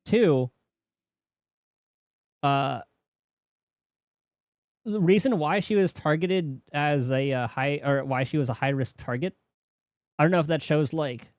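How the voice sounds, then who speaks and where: A man's voice: a little high, takes it slowly, long pauses, dry recording. A man giving casual reaction commentary on a game as a streamer, talking into a close mic.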